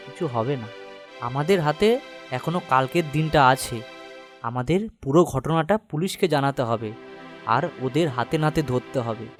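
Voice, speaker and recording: Man playing an earnest, higher-voiced loyal villager. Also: natural voice. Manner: earnest, urgent. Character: loyal, righteous young villager warning his friend. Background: music.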